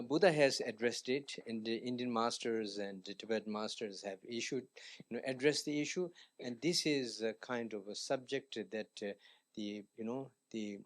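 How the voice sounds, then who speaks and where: A man speaking on a panel, slow and halting, with many fillers as he explains. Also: medium pitch. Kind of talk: panel discussion.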